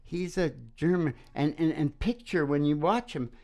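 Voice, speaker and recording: A man's voice: fairly high pitch, animated, normal speed, a little mellow. A man chatting casually as host of his own music show, rambling, jokey and self-deprecating. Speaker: singer-songwriter and radio show host. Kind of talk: casual rambling chat between country songs.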